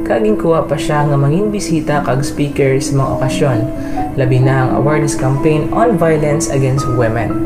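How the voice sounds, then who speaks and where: Narrator for a student radio drama: young man, Hiligaynon accent, scripted read in a roomy space with clear music bed. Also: a little high. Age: young adult. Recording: echoey room with music bed.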